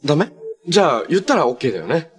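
A young man acting in a TV drama as a cheerful, selfless hero, speaking naturally in a gentle, earnest way. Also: on the high side, very animated, rapid-fire, husky, heavily acted. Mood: reflective, resolute. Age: young adult. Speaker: actor in a superhero TV series. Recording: background noise, some reverberant scenes.

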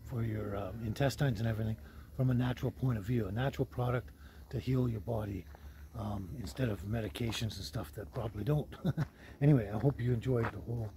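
A man's voice: fairly low pitch, brisk, mellow. Older man rambling over background noise. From Canada. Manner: hesitant, rambling delivery. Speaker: vlogger, host. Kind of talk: casual unscripted vlog talk. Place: outdoors at a forest campsite and inside a vehicle canopy. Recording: clear background noise, handheld outdoor audio.

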